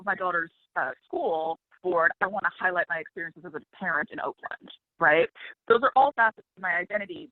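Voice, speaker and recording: A woman's voice: mid-range voice, dry recording. A woman giving a webinar presentation, hesitant with many fillers and restarts, speaking over a video call. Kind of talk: casual presentation. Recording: tinny phone-like line, dry.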